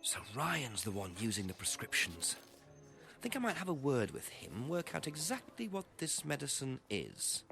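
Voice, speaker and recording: An actor playing a male detective in a mystery game, musing deliberately to himself about a case. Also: medium pitch, wildly swooping pitch, pushed and bright, heavily acted. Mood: curious. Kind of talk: acted inner monologue in a mystery video game. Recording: music clearly behind.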